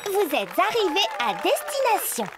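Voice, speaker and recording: Sweet childlike cartoon girl, squeaky high, effusive, music blaring. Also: huge pitch swings, normal speed, a loud crowd, heavily acted. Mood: earnest. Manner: cutesy, effusive. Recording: loud music behind.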